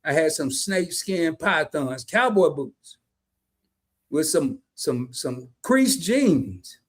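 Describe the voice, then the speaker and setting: A man with a slightly raised voice talks loosely on a live stream, reading out viewer comments. He swings into animated stories and fast asides, keeping the tone casual and chatty. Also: a little high. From family from Arkansas and Chicago, lived in California. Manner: animated storyteller who drops into quick asides and reads comments in voices. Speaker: co-host of a live discussion stream.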